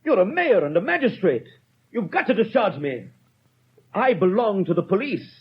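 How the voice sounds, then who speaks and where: A man's voice: high-pitched, sing-song, moderate pace, slightly rough, heavily acted. A man playing a stern, rigid police inspector in 1930s radio drama style, with a clipped, formal, unbending manner. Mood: impassioned, bitter. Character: stern, rigid, self-punishing male French police inspector. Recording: dull old 1930s radio recording.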